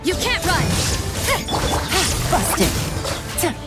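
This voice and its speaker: A woman's voice: extremely high pitch, big pitch swings, extremely slow, full character voice. A woman voicing a proud young mage in game combat, calling out spells and short battle cries over music and effects. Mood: fierce. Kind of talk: anime game combat voice lines.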